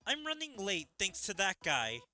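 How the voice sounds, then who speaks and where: A man's voice: high voice, huge pitch swings, normal speed, bright, forceful tone, soft background music, full character voice. A man voicing an indignant, flustered schoolgirl from a comedy manga, exclaiming as he reads.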